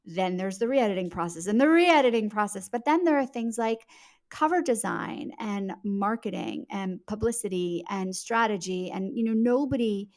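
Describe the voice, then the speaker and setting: A woman chats as an author guest on a podcast. Her voice sits at a middle pitch. She explains in an articulate, conversational way and puts emphasis on the words that matter. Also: expressive, moderate pace, a little mellow.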